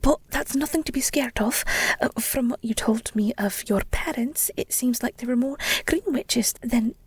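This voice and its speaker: A woman performing an audio roleplay as a protective, devoted werewolf girlfriend, speaking in an earnest, tender, halting way with a breathy whisper. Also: on the high side, darkish tone, no room sound. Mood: hesitant, reassuring.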